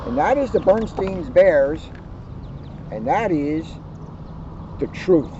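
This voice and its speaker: A man with a fairly high voice talks to young listeners as a storyteller would, stressing and repeating key phrases. He is recorded outside, slightly muffled, with faint noise behind him. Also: expressive, slow. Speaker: police officer reading to children. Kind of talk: storybook narration and casual explanation. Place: outdoors, sitting outside.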